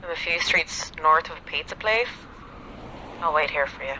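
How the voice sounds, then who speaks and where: A man's voice: a little high, moderate pace, bright, forceful tone, a little hiss or hum, pitched above the performer's own voice, poor audio. A man voicing a proud, dismissive young woman assassin. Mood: snooty. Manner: snooty, dismissive.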